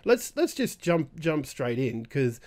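A man co-hosting a weekly gaming show, a little raspy after being sick, chatting casually with lots of restarts. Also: on the high side, sing-song, darkish tone. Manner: halting, many restarts and fillers. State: slightly raspy from recent illness. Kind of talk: casual chat.

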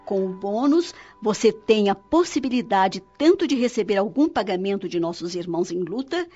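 Actress as a tender, wise spirit mother, husky voice. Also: mid-range voice, lively intonation, moderate pace, dark tone, natural voice. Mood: patient, solemn teaching. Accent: Brazilian. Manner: tender, didactic, solemn, preacherly. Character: loving, wise spirit mother counseling her son. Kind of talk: radio soap opera acting, instructive monologue. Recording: dull lo-fi radio drama with music.

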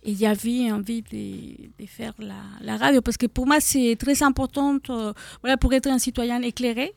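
A woman's voice: fairly high pitch, fairly even intonation, normal speed, vocal fry, a little mellow, no room sound. A middle-aged woman from Mexico with a Mexican Spanish accent, a guest in a live radio studio interview, talking hesitantly with many fillers. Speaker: guest, association co-founder.

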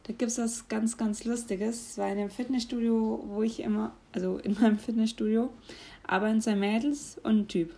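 A young woman, giving casual advice in a chatty way, often laughing and pausing with fillers. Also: a little high, steady tone, vocal fry, mellow. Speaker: vlogger.

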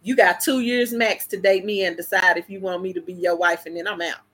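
A woman's voice: lowish voice, fairly even intonation, fairly quick. A woman, co-hosting a casual chat, blunt and emphatic as she talks. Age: 40s. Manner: blunt, emphatic, laughs loudly. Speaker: co-host.

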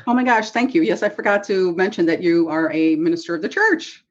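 A woman hosting a faith podcast, reading a measured introduction and then asking casual questions. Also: fairly low pitch, lo-fi sound. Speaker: podcast host. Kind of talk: scripted introduction and interview questions.

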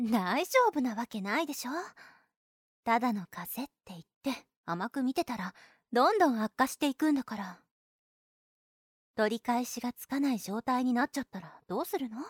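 Overprotective big sister, fairly high voice, gentle fussing, dry close sound, audio drama. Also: female voice, slightly rough, no room sound. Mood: insistent worry. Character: caring, insistent, overprotective older sister of a patient. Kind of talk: Japanese situation voice drama acting.

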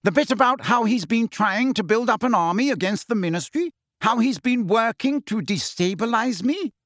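Man putting on a blustering, high-pitched old government minister. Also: big pitch swings, takes it slowly, slightly rough, no room sound, clean studio sound, full character voice. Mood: gleeful triumph. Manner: exclaiming, stammering, repeating words. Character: blustering, excitable older male government minister, gleeful and outraged. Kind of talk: audiobook character voice.

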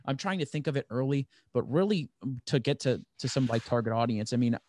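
A man's voice: medium pitch, expressive, fast, a little mellow. A man in his twenties chatting casually as he seeks advice, rambling and earnest. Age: 20s. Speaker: podcast host, first-time writer seeking advice. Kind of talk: casual chat.

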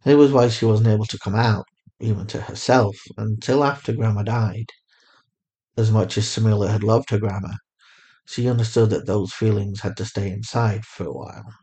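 A man's voice: fairly low pitch, moderate pace, warm tone. A man reading scripted story narration as the narrator of a piece of fiction.

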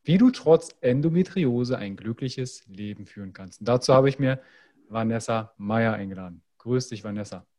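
A man's voice: medium pitch, animated, normal speed, warm tone. A man hosting a health podcast, reading an upbeat promotional intro and then interviewing a guest. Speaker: podcast host and health coach.

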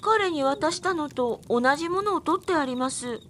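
A woman's voice: high voice, expressive, moderate pace, a bit bright, slight background noise. A woman acting as a polite, meek and earnest young woman in an audio drama, speaking tentatively. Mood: hesitant, meek.

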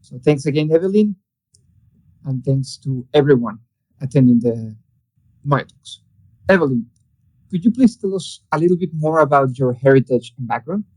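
A man's voice: medium pitch, lively intonation, normal speed, long pauses, a little mellow, no room sound, poor audio. A man hosting an interview series, formally reading a prepared introduction and asking questions. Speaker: host.

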